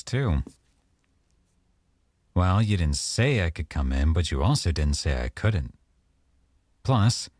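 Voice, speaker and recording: A man acting as a young mafia boyfriend in an intimate ASMR roleplay. His voice is extremely low and mellow, recorded dry and close. He talks in an unhurried, teasing way, coy with a veiled menace. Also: breathy edge, dry recording, exaggerated voice. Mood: casual, teasing.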